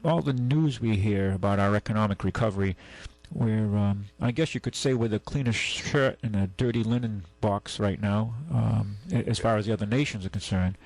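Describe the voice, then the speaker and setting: Male call-in host, gravelly, blunt, folksy; dry close mic. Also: on the low side, normal speed, hardly any pauses, darkish tone, no room sound, rough recording. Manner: blunt, folksy, talks with callers about car money. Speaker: radio call-in show host. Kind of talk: call-in radio chat.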